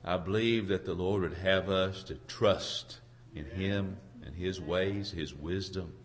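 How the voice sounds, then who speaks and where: A hoarse man preaching an informal sermon in a halting, folksy way, searching for his words and clearing his throat. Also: low voice, a little hiss or hum. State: hoarse from a cold. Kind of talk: informal sermon with congregation responses.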